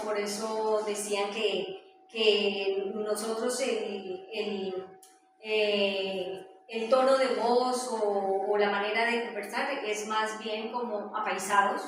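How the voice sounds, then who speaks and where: A woman with a Colombian accent giving an informal presentation, telling stories in a halting, conversational way. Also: a little high. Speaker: presenter sharing her hometown memories. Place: echoey indoor room with a small audience. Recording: very echoey room, distant mic, faint noise.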